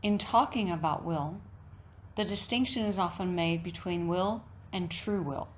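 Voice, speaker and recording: A woman teaching yoga nidra, giving a reflective guided meditation talk in measured, deliberate phrases with frequent pauses. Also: fairly low pitch, a little hiss or hum.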